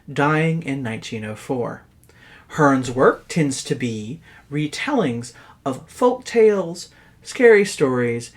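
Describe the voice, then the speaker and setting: A man narrating horror stories as host, in a dramatic, theatrical scripted style. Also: on the high side.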